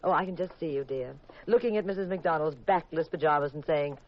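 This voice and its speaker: An actress playing a detective's sharp, teasing wife in a 1950s radio mystery, with an arch, sardonic delivery. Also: female voice, low voice, brisk, a little hiss or hum, close to an everyday voice. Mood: teasing, sarcastic. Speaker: lead actress and narrator. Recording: muffled vintage radio recording.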